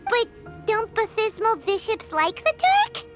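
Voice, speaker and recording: A woman voicing a small, childlike, bubbly fairy companion with a squeaky voice in a video game. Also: big pitch swings, slow, exaggerated voice. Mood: curious. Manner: bubbly, exclamatory, blunt. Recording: music behind the voice.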